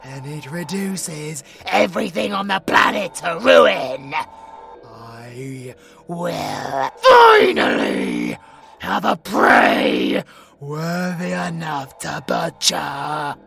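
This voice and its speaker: Monster villain, British, audio roleplay: sadistic, menacing, drawn-out gravelly delivery, theatrical taunts, faint music underneath. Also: male voice, a little high, wildly swooping pitch, full character voice. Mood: ruthless, grandiose. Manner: theatrical and taunting, swinging from slow hushed purrs to shouts. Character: sadistic male monster villain, gloating, menacing, hungry for prey.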